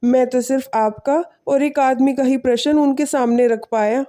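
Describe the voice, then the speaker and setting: A woman voicing a humble, selfless poor village man in an animated moral story, speaking politely and earnestly. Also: sits high, normal speed, natural voice. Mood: humble, modest.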